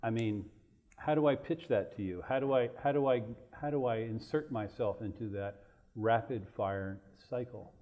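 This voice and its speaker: A man moderating a panel, asking hesitant, halting questions. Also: medium pitch, narrow, tinny audio. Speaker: workshop moderator.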